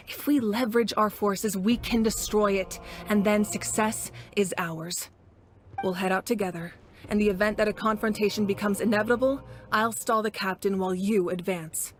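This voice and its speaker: Video game commander, played by a woman: calm, authoritative female leader, measured delivery, music underneath. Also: medium pitch, lightly acted. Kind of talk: scripted video game voice acting.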